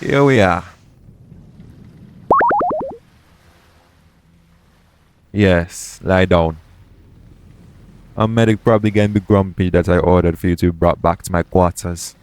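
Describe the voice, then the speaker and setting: A man playing a shady, smooth-talking pirate captain in an audio roleplay, coaxing and self-assured in a conversational way. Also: deep voice, moderate pace, darkish tone, no room sound, natural voice. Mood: reassuring. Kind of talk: second-person audio roleplay acting.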